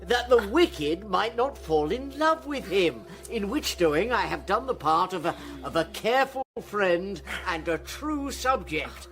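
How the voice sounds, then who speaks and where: An older actor playing a fat, boastful, roguish old knight in a Shakespearean radio drama, blustering and halting as he wheedles. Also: high voice, big pitch swings, a bit bright, full character voice. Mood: wheedling excuses. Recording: music behind the voice.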